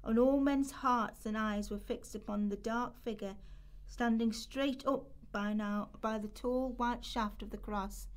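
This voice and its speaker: A woman with a fairly high voice narrates for a radio play. She reads descriptive literary prose from a script, as a storyteller. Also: steady tone, measured pace.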